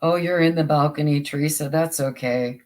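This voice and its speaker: A livestream host with a soothing voice, chatting casually with viewers while crafting, halting and restarting often. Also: mid-range voice, steady tone, a little mellow. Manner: slow and halting, with many repeated starts.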